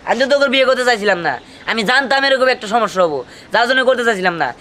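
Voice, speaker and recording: A man playing an exasperated husband, rapid and high-pitched. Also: expressive, exaggerated voice. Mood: scolding. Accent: Bangladeshi colloquial. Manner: scolding, rapid, forceful. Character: exasperated young husband of two quarrelling wives. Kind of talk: comic cartoon dialogue.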